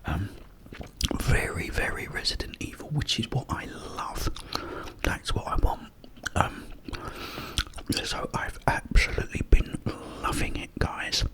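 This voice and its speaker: A man whispering up close in an unhurried ASMR ramble, with breathy delivery and hesitant pauses. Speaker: ASMR host. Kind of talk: ASMR whispered ramble about a video game. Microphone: close-up microphone.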